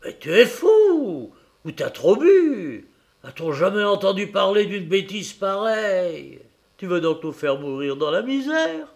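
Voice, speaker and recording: A man reading aloud and voicing a fierce, gruff bargeman's wife who scolds her husband in a shrill, raised voice. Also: sing-song, a little slow, full character voice. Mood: angry scolding. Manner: shrill, scolding, shouting in bursts.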